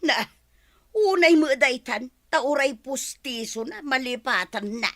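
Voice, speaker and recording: A woman with an Ilocano accent playing an excitable, dithering, forgetful villager in a comic audio drama. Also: high-pitched, a little slow. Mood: curious.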